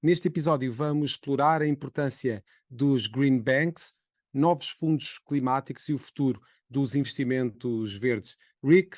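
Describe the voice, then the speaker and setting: A man with a European Portuguese accent hosting a podcast as a journalist, reading a formal introduction and posing measured interview questions. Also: medium pitch, a little mellow, no echo, studio quality.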